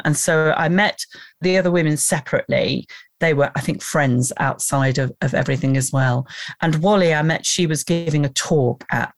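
Woman, documentary producer, animated storyteller, gravelly, dry close recording. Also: low voice, no echo. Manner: animated, laughs often, acts out voices. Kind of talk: conversational interview storytelling.

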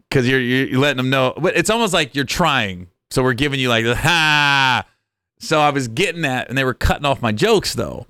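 A stand-up comedian in his forties spins stories in an easy, conversational way. He acts out the people in his tales with quick, animated shifts. His voice sits in a middle register and sounds dry and close to the mic. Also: mid-range voice, smooth, no echo. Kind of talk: casual storytelling chat.

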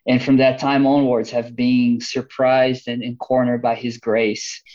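A man's voice: medium pitch, level tone, a little slow, darkish tone, highs cut off. A man in his thirties from Brazil with a Brazilian accent, a missionary guest talking eagerly on a podcast with many restarts. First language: Portuguese. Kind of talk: podcast interview.

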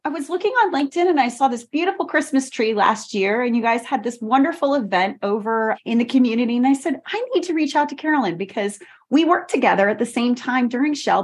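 A woman's voice: fairly high pitch, animated, fast talker, few pauses, husky. Effusive woman hosting an interview. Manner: effusive, praising, conversational. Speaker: interview host. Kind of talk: interview conversation.

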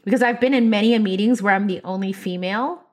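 A woman co-hosting a podcast in casual chat, talking in a bold, expressive, emphatic way. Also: medium pitch, fast. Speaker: podcast co-host.

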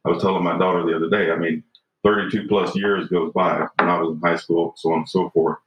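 A man's voice: deep voice, flat delivery, fast talker. A man in his fifties, a podcast guest talking earnestly and reflectively, often checking in with "right?". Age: 50s. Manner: earnest, reflective, frequent "you know" and "right?". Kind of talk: podcast conversation. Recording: roomy, dull lo-fi sound.

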